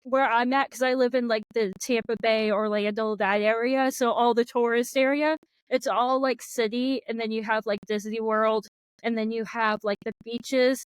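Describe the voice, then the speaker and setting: A woman's voice: a little high, fairly even intonation, normal speed, clear, resonant tone, no echo, poor audio. A woman from Florida with a Floridian American accent, hosting a casual podcast chat and filling her talk with "like" and "yeah.". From Florida, United States. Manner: chatty, lots of "like" and "yeah". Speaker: podcast host. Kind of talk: casual conversation.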